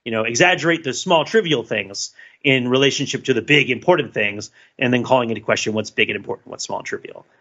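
A man's voice: mid-range voice, fast, sharp tone. A man co-hosting a podcast, thinking aloud in a casual analytical chat, full of fillers and restarts. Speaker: podcast co-host. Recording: dull lo-fi podcast audio.

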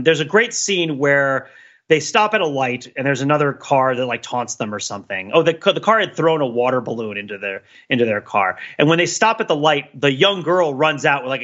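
A man's voice: medium pitch, lo-fi sound. A man co-hosting a casual pop culture discussion, telling stories in a rambling way as he searches for words and checks that the listener follows. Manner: rambling, searching for words, animated storytelling with frequent check-ins. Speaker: podcast co-host.

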